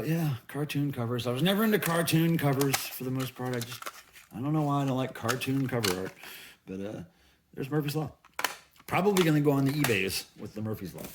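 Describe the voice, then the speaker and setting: Middle-aged male vlogger, mid-range voice, casual rambling delivery, some noise and clicks in the recording. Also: mid-range voice. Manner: rambling, musing aloud, folksy playful slang. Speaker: video channel host. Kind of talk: casual haul vlog chat.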